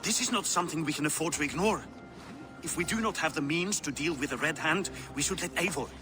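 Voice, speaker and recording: An actor playing a blunt, scornful Viking war chief in a dramatic video game. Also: male voice, a little high, fast, music in the background, natural voice. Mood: gruff, practical.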